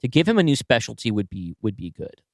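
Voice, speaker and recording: A man's voice: medium pitch, very animated, quick pace, no echo, studio quality. A man co-hosting a podcast, thinking aloud analytically in a casual writers' brainstorming conversation.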